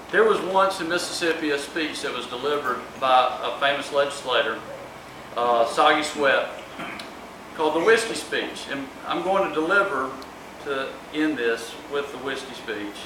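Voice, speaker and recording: A man giving a public speech as an author at a live book event. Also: mid-range voice. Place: room at a live event, distant microphone. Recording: echoey, noisy room recorded from far off.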